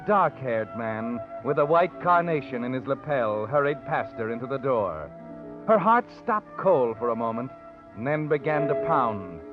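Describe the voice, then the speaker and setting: An actor playing a knowing, taunting narrator in 1940s radio suspense style, needling a guilty woman. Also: on the high side. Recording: dull old radio recording, music behind.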